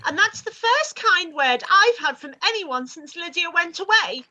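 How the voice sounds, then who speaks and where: A woman's voice: very high voice, animated, normal speed, pushed and bright, heavily acted. A woman playing a petulant, sulky young sister in a period radio play, whining and indignant. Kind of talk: live-read radio play acting of period drama.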